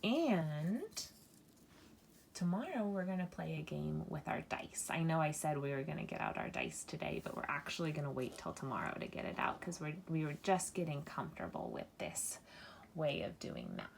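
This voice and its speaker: A woman in her thirties teaching a children's math lesson, counting aloud slowly and breaking into mock-alarmed squeals. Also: low voice, very animated, vocal fry, slight background noise. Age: 30s. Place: indoors at a table, hands-only video.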